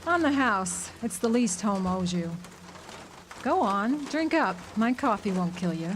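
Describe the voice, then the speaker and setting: A woman's voice: mid-range voice, very animated, unhurried. An actress playing a tough, jaded small-town diner woman, dry and sardonic, in a noir radio drama. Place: outdoor amphitheater stage. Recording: faintly noisy outdoor live recording.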